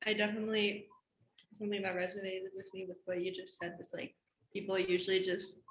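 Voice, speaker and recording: A woman's voice: mid-range voice, flat delivery, brisk. A teenage girl in a casual conversation, speaking hesitantly and searching for her words. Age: teens. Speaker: student.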